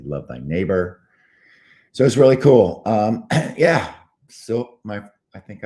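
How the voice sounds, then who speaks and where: A man hosting a short morning show, talking casually while hoarse and tired, clearing his throat often and pausing on fillers. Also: a bass voice, mellow. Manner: frequent throat clearing, halting with many fillers.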